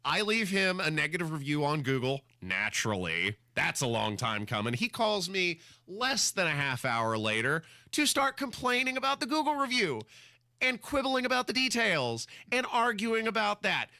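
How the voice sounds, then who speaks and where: Sarcastic radio host with a cold. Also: male voice, high-pitched, sing-song, normal speed, sharp tone, no echo, studio quality. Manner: exasperated, sarcastic, dramatic asides. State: fighting a cold, a little scratchy. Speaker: radio talk show host. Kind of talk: casual on-air storytelling. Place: radio studio.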